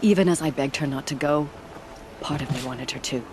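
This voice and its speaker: A man's voice: fairly high pitch, fairly quick, a little mellow, slight background noise, close to an everyday voice. Bitter, grieving villager played by a man. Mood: bitter grief. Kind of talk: video game dialogue acting.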